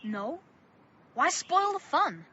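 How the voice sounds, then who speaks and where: A woman playing a bold, curious thirteen-year-old boy in a radio drama, brash and excitable. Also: high voice, takes it slowly, full character voice. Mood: defiant. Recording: dull, noisy lo-fi audio.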